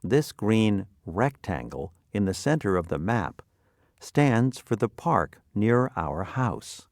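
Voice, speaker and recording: A man's voice: fairly low pitch, sing-song, takes it slowly, no room sound, studio quality. A man narrating a scripted vocabulary lesson, reading out definitions and example sentences.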